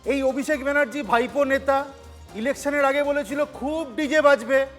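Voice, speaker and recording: A man's voice: extremely high pitch, steady tone, normal speed. A man giving mocking political commentary on a television panel. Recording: reverberant sound with music bed.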